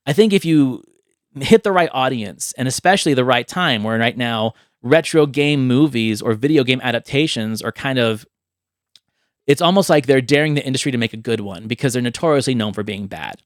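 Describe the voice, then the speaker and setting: An enthusiastic man hosting a casual movie podcast, with a mid-pitched voice. The sound is close and dry, with little room on it. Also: expressive, brisk, dry recording. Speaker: podcast host.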